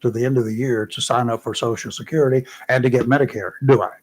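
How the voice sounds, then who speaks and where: A man's voice: mid-range voice, fairly even intonation, fast talker. A man, hosting a local radio talk show in a folksy, chatty way. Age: 60s. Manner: folksy, chatty, repeats himself. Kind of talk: casual radio chat. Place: radio studio.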